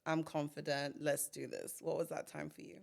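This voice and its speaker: A woman hosting a podcast interview, bubbly and quick to laugh and affirm. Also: deep voice, level tone, vocal fry. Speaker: podcast host. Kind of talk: casual interview.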